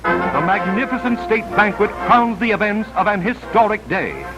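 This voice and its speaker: Male 1930s newsreel narrator, high register, dramatic scripted delivery, vintage film sound with background music. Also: sing-song, normal speed. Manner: dramatic, solemn declamation.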